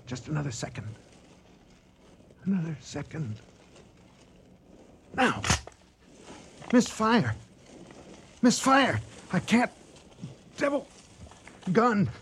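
A lone hunter in a radio play, worn out and gripped by fear. His delivery is extremely slow and broken, with wide swings of pitch over a faintly noisy recording. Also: very animated, fairly bright tone, full character voice. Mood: tense, watchful, then frantic, terrified. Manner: halting, broken fragments, muttering repetition. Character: exhausted, terrified lone hunter stalking a lion at night. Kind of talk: dramatic radio monologue.

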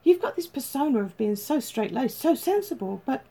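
A woman voicing an excitable, teasing male police detective with a brash, matey manner, in an audiobook story. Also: high voice, moderate pace, husky, voice pushed up, exaggerated voice. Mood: delighted, teasing.

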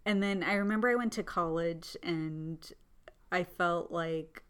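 A woman who grew up in Southern California, a podcast guest telling her life story in an earnest, reflective way with frequent small pauses. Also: on the low side, clear, resonant tone. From Southern California, born in India. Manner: earnest, thoughtful, often pauses with "like" and soft laughs. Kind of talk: reflective personal storytelling in conversation.

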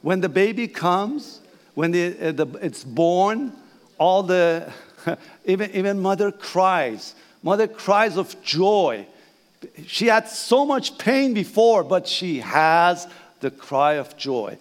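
An older man from Iran preaching a sermon in English with a Persian accent, halting and repeating phrases. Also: high voice, animated. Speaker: pastor. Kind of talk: bilingual sermon. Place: church sanctuary. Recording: reverberant church hall.

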